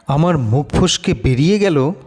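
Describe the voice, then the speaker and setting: A man narrating a scripted horror story in a dramatic, measured, suspenseful way. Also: fairly high pitch, expressive, darkish tone, slightly rough.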